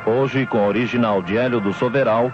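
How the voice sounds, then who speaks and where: A Brazilian man makes a dramatic radio announcement in a mid-pitched voice. Loud music plays behind him, and the old recording is dull. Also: steady tone, normal speed. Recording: muffled vintage radio recording.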